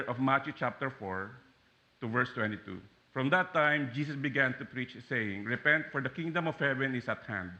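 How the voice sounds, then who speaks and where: A man in his forties from the Philippines with a Filipino accent, preaching a sermon as a guest pastor in a church, animated and joking with frequent fillers and restarts. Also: mid-range voice, muffled recording. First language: Taglish. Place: church sanctuary.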